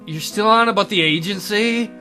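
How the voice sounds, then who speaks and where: A man's voice: high voice, normal speed, bright, forceful tone, faint music behind. A man voicing an exasperated, awkward young male tutor in a visual novel. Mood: weary, skeptical.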